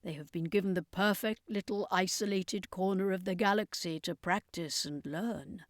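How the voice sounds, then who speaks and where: A woman's voice: medium pitch, moderate pace, dry recording, studio quality, close to an everyday voice. A woman voicing a boastful, theatrical male circus master in an audiobook, pompous and proclaiming. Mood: reflective.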